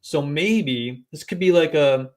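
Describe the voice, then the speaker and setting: A man hosting a fantasy football podcast, delivering brisk, stat-heavy analysis in casual chat. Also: a little high. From New England.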